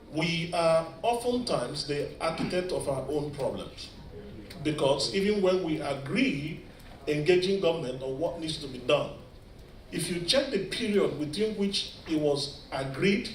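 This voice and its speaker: A Nigerian man on a panel, speaking in a measured, reflective way. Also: a little high, a little hiss or hum. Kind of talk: panel discussion. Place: large conference hall. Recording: echoey conference hall from far off. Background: faint ambience.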